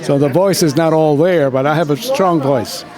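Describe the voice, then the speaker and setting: Cuban-born older man, high voice. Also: normal speed. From Cuba. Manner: playful, sings a cappella when asked. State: recovering from a cold. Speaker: guest performer. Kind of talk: casual event interview. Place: crowded indoor event hall. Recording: crowd chatter behind.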